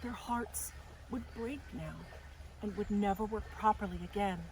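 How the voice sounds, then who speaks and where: A woman narrates a children's storybook at a slow pace and a middle pitch. Her reading is deliberate and dramatic, as a storytime host would do it. There is faint outdoor noise behind her. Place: outdoors in a garden. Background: faint outdoor ambience.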